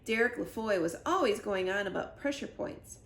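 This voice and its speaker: Creaky-voiced woman reading in an echoey room. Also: mid-range voice, expressive, moderate pace, a bit bright. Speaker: school library storyteller. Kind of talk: expressive children's book read-aloud. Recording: roomy echo with clear background noise.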